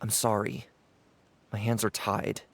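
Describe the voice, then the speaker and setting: A man's voice: lowish voice, flat delivery, measured pace, gravelly, noisy background. Remorseful earnest young man. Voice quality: earnest, apologetic. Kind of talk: game voice acting.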